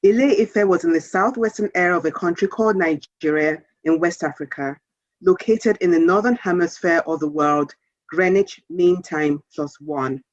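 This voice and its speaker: A woman author reading a children's story aloud in a measured, expressive storytelling manner. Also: lowish voice, no echo, dull audio.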